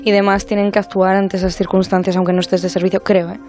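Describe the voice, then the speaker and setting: A woman's voice: mid-range voice, little pitch movement, quick pace, music in the background. A woman from Spain with a Spanish accent in a casual radio chat. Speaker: radio contributor. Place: radio studio.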